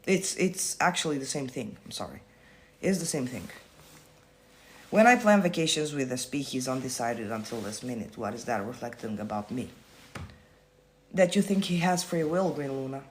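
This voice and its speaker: A man's voice: medium pitch, lively intonation, normal speed, a little hiss or hum. Blunt, casual man on a livestream. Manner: blunt and casual, swears freely; explains by repeating himself. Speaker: manifestation coach. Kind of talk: livestream Q&A, reading viewer comments.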